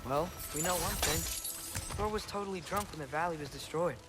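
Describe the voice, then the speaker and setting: A man's voice: fairly high pitch, fairly quick, close to an everyday voice. An actor playing an earnest, thoughtful teenage boy in a video game, speaking in a youthful way. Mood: matter-of-fact. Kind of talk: video game drama acting. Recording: music behind the voice.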